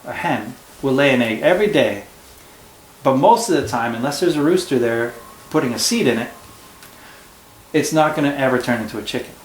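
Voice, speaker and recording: A man's voice: mid-range voice, lively intonation, fairly quick, pauses often, roomy sound, slight background noise, low-quality recording. A man teaching at home, explaining a storybook lesson to a child patiently and step by step. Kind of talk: casual classroom discussion.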